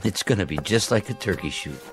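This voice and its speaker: A man's voice: sits low, animated, brisk, music in the background. An actor playing a cynical, sneering Old West posse gunman in a radio drama. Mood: cocky, cynical.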